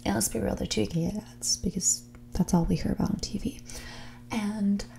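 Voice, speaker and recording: A woman playing a mean, snobby rich young woman in a whispered and soft-spoken ASMR roleplay, talking in a breathy, condescending, bratty way. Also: medium pitch, fairly even intonation. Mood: sarcastic explaining. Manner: condescending, bratty, dismissive, drawn-out casual phrasing. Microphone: close ASMR microphone.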